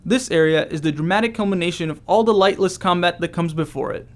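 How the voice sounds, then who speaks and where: A man's voice: sits high, fast. A man giving scripted commentary as a game developer.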